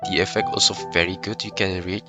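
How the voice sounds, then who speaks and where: Low-voiced sick young man explaining a game. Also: steady tone, quick pace. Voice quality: non-native English grammar. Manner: explanatory, laughs now and then. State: sick, voice affected. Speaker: game guide creator. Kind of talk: casual game guide commentary. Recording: music playing underneath.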